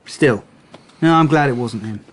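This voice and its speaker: An actor playing a reserved, methodical and quietly humane police detective in a radio drama, speaking in a terse, understated way. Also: male voice, mid-range voice, unhurried, natural voice. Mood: tired, relieved.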